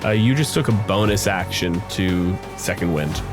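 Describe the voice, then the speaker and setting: Loud music sits behind a man with a fairly low voice. He runs a tabletop roleplaying game as its game master, moving casually between rules calls and scene description. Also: lively intonation, normal speed. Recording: loud music bed under the voice.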